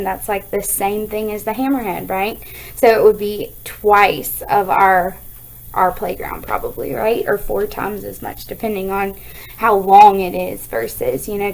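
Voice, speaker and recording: A woman's voice: on the high side, rough recording. A woman with an American accent, a school teacher reading aloud to children and chatting through explanations, often checking in with "right?".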